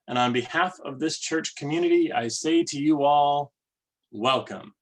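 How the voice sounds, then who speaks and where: A man leading worship, giving welcomes and readings in a measured, deliberate way. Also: mid-range voice. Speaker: worship leader. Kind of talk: church service welcome and readings.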